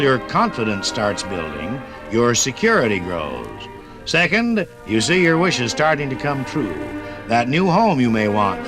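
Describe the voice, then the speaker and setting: A man narrating and announcing in a polished, declamatory 1950s radio style. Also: medium pitch.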